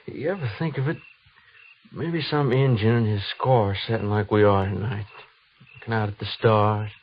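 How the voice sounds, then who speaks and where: An actor playing a tender-hearted young frontier trooper and anxious husband in an old radio drama, with folksy rural American speech. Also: male voice, lowish voice, fairly even intonation, normal speed, natural voice. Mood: tender, dreamy. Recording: dull, noisy old radio recording.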